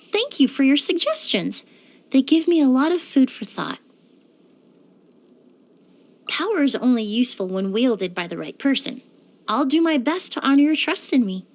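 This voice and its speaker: A woman playing a courteous, composed young woman in a video game, speaking politely and earnestly with careful, measured wording. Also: high-pitched, a little mellow. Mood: warm, gracious. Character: poised, courteous young woman perfumer and forensic cleaner. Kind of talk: scripted video game character voice lines.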